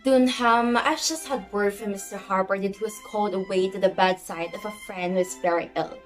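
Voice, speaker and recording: A woman playing a fussy, excitable society hostess and mother in an amateur stage play, brisk and commanding. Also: mid-range voice, lightly acted. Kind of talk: amateur one-act play acting. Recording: music behind the voice.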